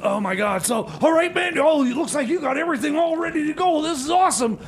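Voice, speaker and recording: A man voicing an excitable, scatterbrained mechanic sidekick, stammering and rambling eagerly in comedy radio theater. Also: very high voice, fast talker. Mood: eager, flustered.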